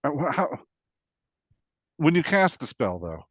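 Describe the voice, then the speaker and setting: A man's voice: fairly high pitch, very animated, measured pace, a bit bright, no room sound. A man co-hosting a casual chat, asking questions and thinking aloud, on a slightly lo-fi voice track.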